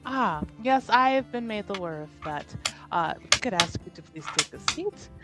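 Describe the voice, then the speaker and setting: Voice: mid-range voice, expressive, moderate pace, lightly acted. A player voicing a duke's personal attendant in a formal, probing, officious manner. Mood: polite, formal. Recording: music playing behind the voice.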